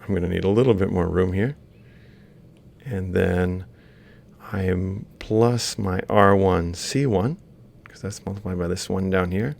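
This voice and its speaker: A man giving an informal, step-by-step lecture, explaining haltingly as he works through examples. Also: sits low, gravelly, darkish tone. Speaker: university robotics lecturer. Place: screen-recorded lecture voice-over.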